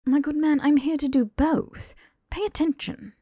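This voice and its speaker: A woman's voice: high-pitched, fairly even intonation, measured pace, dark tone, no echo, pitched above the performer's own voice. A woman voicing a smug, posh young man who lectures his lover in long, pompous sentences for an audiobook. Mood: haughty, teasing. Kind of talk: audiobook character dialogue.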